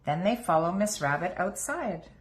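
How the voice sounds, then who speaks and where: Woman, storybook read-aloud for little kids, lowish pitch, animated intonation. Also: moderate pace. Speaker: children's book reader. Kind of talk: read-aloud storybook narration for young children.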